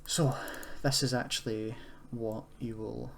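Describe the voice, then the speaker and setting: A man's voice: mid-range voice, fairly even intonation, measured pace. A young man giving a casual, unscripted coding tutorial, explaining in a hesitant, self-correcting way. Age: young adult. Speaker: tutorial presenter, university student. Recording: clear background noise, keyboard typing. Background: computer keyboard typing and noise.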